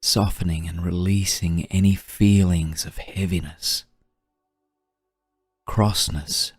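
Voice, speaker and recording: A man guiding a meditation in a soft, breathy, soothing voice, gently and unhurriedly, with long pauses. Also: low voice, steady tone, dry recording, clean studio sound, faint music behind. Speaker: meditation guide. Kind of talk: guided meditation narration.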